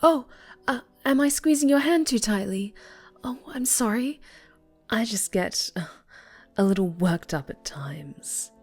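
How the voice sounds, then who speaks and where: A woman playing an obsessive female superhero captor in an ASMR roleplay, speaking in breathy coos and whispers with sweet, possessive menace. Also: on the high side, lively intonation, a little slow, faint music behind, exaggerated voice. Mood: apologetic, smug. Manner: breathy cooing that slips into whispers and soft menace. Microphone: close microphone.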